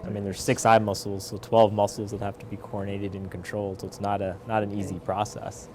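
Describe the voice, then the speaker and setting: A man's voice: on the low side, little pitch movement, fairly quick, over music. A man speaking as a surgeon, explaining things informally, with noise behind him. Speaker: eye surgeon.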